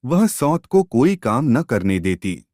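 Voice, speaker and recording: A man narrating a literary short story in an expressive, measured storytelling manner. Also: mid-range voice, no echo, clean studio sound.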